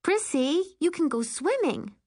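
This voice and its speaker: An eager young child's mother, played by a woman narrator, raised in pitch and full of coaxing. She speaks in an animated, persuading way, as in an audiobook. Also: measured pace, no echo, studio quality. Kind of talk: audiobook character voice.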